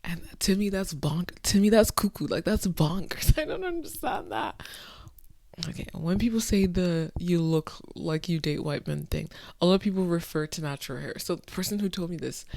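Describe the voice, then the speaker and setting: A woman talking to her camera late at night, incredulous and exasperated, swinging between soft muttering and sudden loud outbursts. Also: lowish voice, lively intonation, moderate pace, gravelly, dry recording. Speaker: vlogger. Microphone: phone or camera close up.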